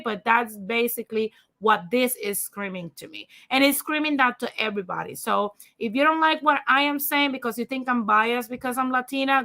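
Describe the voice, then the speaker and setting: Young woman host, Spanish accent, high-ish and gravelly, blunt and profane live commentary, addresses viewers directly. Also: sharp tone. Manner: profane, fired-up, talks straight to viewers. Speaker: livestream host. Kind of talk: blunt opinion commentary, reading news aloud.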